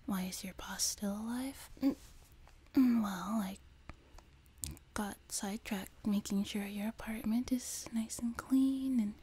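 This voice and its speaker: Woman playing a slow, whispering sweet demon girl. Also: mid-range voice. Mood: gentle, sheepish. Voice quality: soft, breathy whisper. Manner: gentle, slow, teasing, intimate. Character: sweet, vain, teasing young demon girl, doting caretaker. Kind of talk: whispered ASMR roleplay. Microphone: close binaural microphone. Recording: close binaural mic, background hiss.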